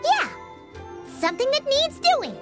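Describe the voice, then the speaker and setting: A woman's voice: wildly swooping pitch, measured pace, fairly bright tone, faint music behind, exaggerated voice. A woman voicing a small childlike fairy companion in a video game, bossy, emphatic and easily exasperated. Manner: squeaky, third-person speech, exasperated, emphatic.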